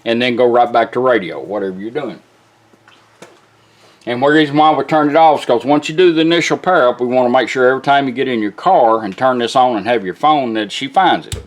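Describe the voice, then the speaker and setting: A man's voice: mid-range voice, brisk. A man giving an informal, folksy hands-on product demonstration, explaining each step in an instructional way. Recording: music playing behind the voice.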